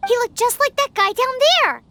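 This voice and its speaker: A woman voicing a tiny floating female companion, chatty and excitable, with a squeaky, exclamatory way of talking in a game cutscene. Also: normal speed, slight background noise, exaggerated voice. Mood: excited.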